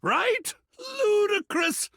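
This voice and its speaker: A man voicing a flamboyant, pretentious male gallery curator in a video game, passionate and theatrical, with a gravelly, husky voice, savoring his words dramatically. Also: very high voice, bright, forceful tone, no room sound, clean studio sound, exaggerated voice. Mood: delighted, playful, then helplessly amused. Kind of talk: theatrical game character dialogue.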